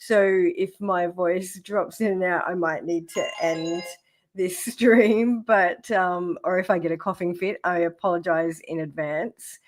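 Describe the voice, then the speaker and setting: A woman hosts a relaxed livestream while getting over the flu, so her voice comes out croaky. She talks at an unhurried, drawn-out pace, rambling and filling pauses as she goes. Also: on the low side. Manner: chatty, rambling, many fillers. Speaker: crypto livestream host. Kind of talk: casual livestream chart talk. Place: home setup, camera off.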